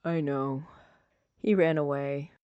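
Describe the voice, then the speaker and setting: A woman voicing a troubled, lovesick young man in a subdued, halting and defensive way for an audiobook. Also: low voice, fairly even intonation, mellow, smooth, no room sound, dull audio, pitched below the performer's own voice. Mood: sheepish.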